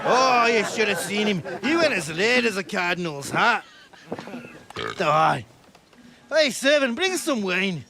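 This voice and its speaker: An actor playing a rowdy, mocking young nobleman in a video game, with a jeering, drawn-out delivery. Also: high-pitched, lively intonation, vocal fry, noisy background, exaggerated voice. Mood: boisterous.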